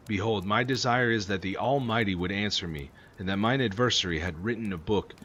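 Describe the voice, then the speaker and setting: A man's voice: fairly low pitch, fairly bright tone. A man reading old scripture aloud in a solemn, declamatory manner. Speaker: amateur Bible reader. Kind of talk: reading scripture aloud, King James Version. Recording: clearly noisy recording with ambience.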